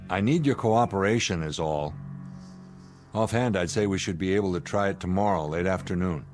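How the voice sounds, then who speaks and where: An American actor playing an irritable, sarcastic spaceship commander in a sci-fi radio drama, wry and needling. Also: male voice, sits low, normal speed, a little mellow, faint music behind, lightly acted.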